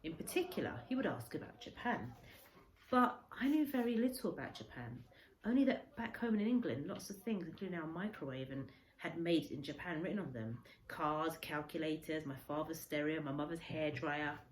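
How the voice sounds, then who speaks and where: A woman reading a children's story aloud as a teacher, in expressive narration. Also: sits low, normal speed. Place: indoor room with a window. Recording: echoey room with faint background noise.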